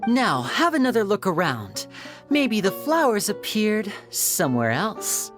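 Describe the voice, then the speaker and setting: A suave young male magician voiced by a woman speaks with polite, teasing showmanship. The pitch moves in lively swoops, in anime game style, with faint music underneath. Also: unhurried. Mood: playful showmanship. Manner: polite, showy, smooth-talking.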